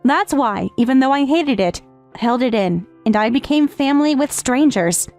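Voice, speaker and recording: High-voiced, confessional teenage girl played by a woman. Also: lively intonation, moderate pace, darkish tone. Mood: sorrowful, resentful. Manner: confessional, hesitant, earnest. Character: grieving, withdrawn teenage step-sister, guilty and tender. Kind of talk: dramatic first-person narration in manga dub. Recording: background music under the voice.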